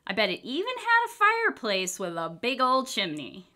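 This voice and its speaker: A woman voicing an excitable, naive young boy wolf from a children's storybook, eager and full of questions. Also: on the high side, moderate pace, exaggerated voice. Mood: eager, anxious.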